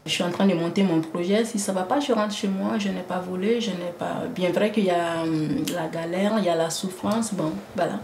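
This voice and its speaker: A woman from Mali telling her story of exile, hesitant with many pauses. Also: lowish voice, fairly even intonation, darkish tone. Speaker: interviewee, project founder. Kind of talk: personal testimony. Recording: roomy with faint noise.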